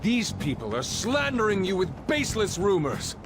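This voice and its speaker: A man's voice: sits high, wildly swooping pitch, normal speed, exaggerated voice. An actor voicing a proud, formal young art student, loyal to his teacher, with theatrical diction in an English game dub. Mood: indignant. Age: young adult. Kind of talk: dramatic English video game dub acting. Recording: music behind the voice.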